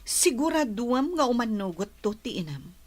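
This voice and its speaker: Woman playing a high, demanding Ilocano wife. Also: very animated, moderate pace. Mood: suspicious. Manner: pressing, questioning. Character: suspicious, demanding, grasping wife. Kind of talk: Ilocano radio drama acting.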